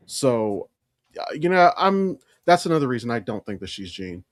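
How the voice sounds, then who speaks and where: American male podcast co-host, medium pitch, loose rambling chat, dry recording. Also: sing-song, normal speed, dry recording. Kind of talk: casual chat about comics and films.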